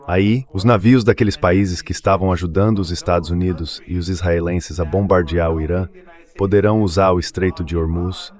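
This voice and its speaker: A man with a very low-pitched voice speaks as the guest in a political interview. It is a synthetic translated voice-over. The delivery is earnest and emphatic, stacking repeated phrases to make each point. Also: darkish tone. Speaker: interview guest, commentator and professor. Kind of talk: AI-read translated dub of political commentary.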